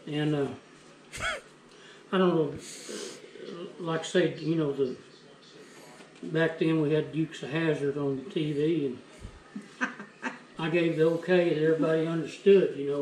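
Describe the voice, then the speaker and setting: A man's voice: on the high side, a little mellow. An older man telling family stories in a rambling, folksy way at his home kitchen counter. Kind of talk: casual family storytelling. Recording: roomy kitchen with background noise.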